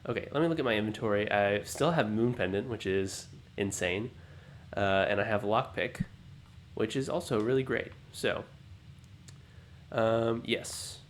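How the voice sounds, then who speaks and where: A man with a deep, just-woken voice talks his way through a puzzle game at a relaxed, musing pace, often pausing to weigh his choices. A faint room hiss sits behind him at his home desk mic. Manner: musing, unhurried, self-talking. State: low morning voice, just woken up. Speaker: let's play host. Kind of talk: thinking-aloud gameplay commentary.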